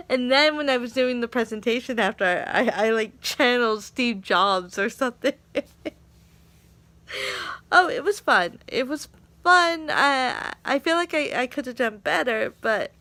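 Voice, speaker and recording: A woman's voice: on the high side, expressive, measured pace, bright, forceful tone, no echo. A young woman telling a story from her day in a casual vlog. She laughs often and acts out what people said. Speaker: English teaching assistant.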